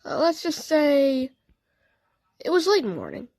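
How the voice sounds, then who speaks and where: A woman narrating a first-person story in a halting way, stumbling and correcting herself as she goes. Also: high voice, wildly swooping pitch, gravelly, a little mellow, no room sound. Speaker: narrator of a fan audio story.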